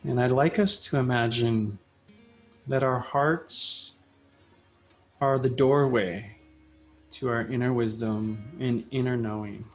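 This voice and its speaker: A man leading a guided meditation in a soothing style, speaking in short, measured phrases with long pauses. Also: mid-range voice, steady tone. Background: soft plucked guitar music.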